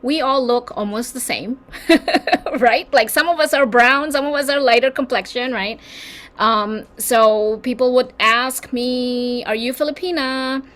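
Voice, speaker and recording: A woman from Indonesia speaking as a community leader on a panel discussion, talking in quick, animated bursts. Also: on the high side.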